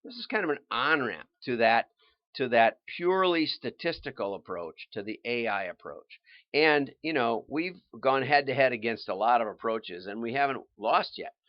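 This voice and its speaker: A man hosting a livestream, explaining things informally in a halting, think-aloud way with restarts and asides. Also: medium pitch, lively intonation, no echo, highs cut off. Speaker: livestream host and marketing consultant.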